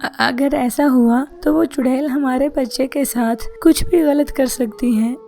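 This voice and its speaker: A woman's voice: high voice, fairly even intonation, a little slow, vocal fry, dark tone, soft background music. A woman voicing an anxious mother in a cartoon story who stammers with fear. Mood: worried. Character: worried mother of a missing boy.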